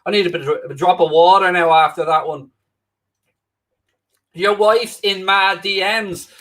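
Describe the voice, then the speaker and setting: Cheeky Irish man with a high voice. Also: measured pace, fairly bright tone. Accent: Irish, Dublin-Wicklow. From Ireland. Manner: cheeky, self-mocking banter. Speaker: fill-in host of a football watchalong. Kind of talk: casual live-stream chat reading viewer comments. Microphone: new microphone, close.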